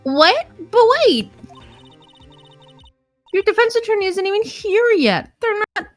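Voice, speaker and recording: A woman putting on a shrill alarmed girl. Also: sing-song, a little slow, faint music behind, exaggerated voice. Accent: Icelandic. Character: high-pitched excitable young woman sidekick.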